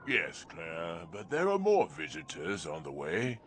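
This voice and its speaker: An actor playing a gentle, devout apostle saint in a children's audio drama, telling his story with reverent, measured delivery. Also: sits low, huge pitch swings, noisy background, heavily acted. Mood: solemn, kindly.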